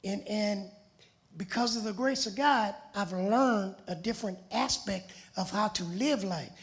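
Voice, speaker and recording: A middle-aged man from Los Angeles with a raspy voice, giving a plain-spoken personal testimony in a streetwise storytelling manner. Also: high voice, measured pace. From Los Angeles, USA.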